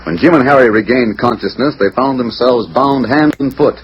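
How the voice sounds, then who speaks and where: A man's voice: medium pitch, normal speed, a little hiss or hum. A man narrating a 1940s radio western in a dramatic, suspenseful storytelling manner. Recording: dull vintage radio broadcast recording.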